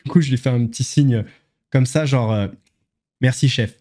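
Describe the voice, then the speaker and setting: A man's voice: medium pitch, moderate pace, dark tone. A man hosting an online commentary show, mocking his subjects with ironic asides. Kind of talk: sarcastic news commentary.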